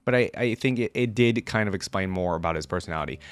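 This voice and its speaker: A man's voice: fairly low pitch, fast, smooth, no room sound. A man doing casual reaction commentary, reading aloud and adding informal asides as he talks directly to viewers. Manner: informal, addresses viewers, checks in with "right?". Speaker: video creator reacting to game lore.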